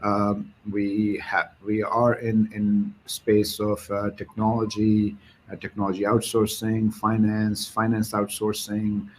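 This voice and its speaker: A man with a Pakistani accent answering interview questions as a business executive, in a halting way with frequent repeated words. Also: lowish voice, completely flat delivery, slight background noise. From Karachi, Pakistan.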